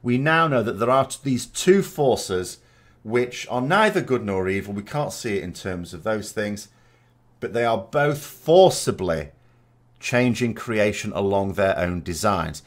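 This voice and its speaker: Guy doing an off-the-cuff gaming vodcast, really animated pitch, lowish voice, explains stuff emphatically. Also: unhurried. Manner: emphatic, explanatory, poses rhetorical questions. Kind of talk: unscripted opinion commentary.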